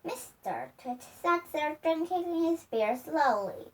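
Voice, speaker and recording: Young female child reader, high voice, halting storybook delivery, picked up from a distance. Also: lively intonation. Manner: careful, halting reading with misreadings. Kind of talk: storybook read-aloud. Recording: distant microphone.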